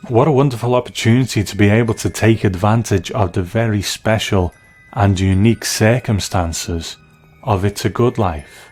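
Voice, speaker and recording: A man hosting a scripted TV review, speaking in measured, reflective, deliberate phrases. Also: fairly low pitch, darkish tone. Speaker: television review host.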